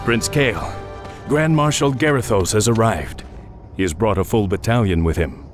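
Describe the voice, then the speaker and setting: An actor playing an urgent, dutiful elf soldier giving reports in a video game. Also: male voice, lowish voice, moderate pace, soft background music, close to an everyday voice. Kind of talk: video game cutscene acting.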